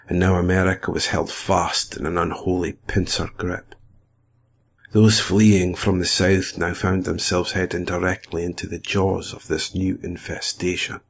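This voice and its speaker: A man playing a guilt-ridden nineteenth-century harbour master, giving a grave, formal account in a gravelly, husky voice, as a scripted dramatic monologue. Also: low voice, heavily acted. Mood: bitter, despairing. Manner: grave, deliberate, formal old-fashioned diction.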